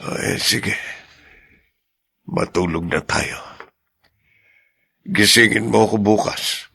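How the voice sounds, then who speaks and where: A man acts a middle-aged husband in an audio drama, quietly affectionate and earnest. His low voice is husky and gravelly, and he takes his words slowly, with measured hesitation. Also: exaggerated voice. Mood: tender, wistful. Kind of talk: audio drama acting in Tagalog.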